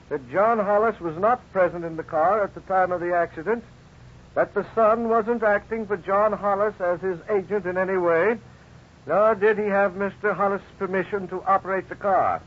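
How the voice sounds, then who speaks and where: An actor playing a stern judge, formal and measured, in a 1950s radio drama. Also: male voice, sits high. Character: stern, formal male judge delivering a ruling. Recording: dull 1950s radio recording.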